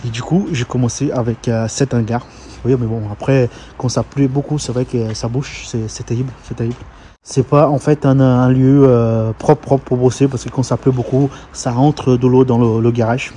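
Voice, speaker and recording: A man's voice: medium pitch, steady tone, moderate pace, mellow. A man from Brazil with a Brazilian Portuguese accent, a heavy-truck mechanic telling his story in a casual vlog, hesitant with many fillers and restarts. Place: outdoors at a truck yard and garage. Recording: outdoor street noise behind.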